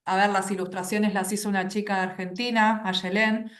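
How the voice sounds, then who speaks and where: A woman's voice: mid-range voice, little pitch movement, fairly quick. A woman publisher explaining in a matter-of-fact way during a conversational interview, listing steps one by one. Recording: roomy echo.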